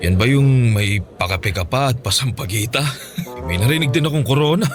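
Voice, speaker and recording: A man with a Filipino accent playing a calm, teasing, henpecked middle-aged father in a radio drama. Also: medium pitch, moderate pace, over music, lightly acted.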